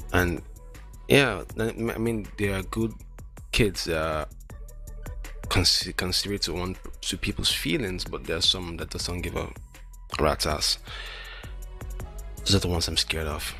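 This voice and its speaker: A man's voice: low voice, measured pace, pauses often, fairly bright tone, faint music behind. A man with a lisp who stutters and restarts words, rambling casually and self-deprecatingly as a streamer. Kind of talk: casual solo chat while testing mic settings.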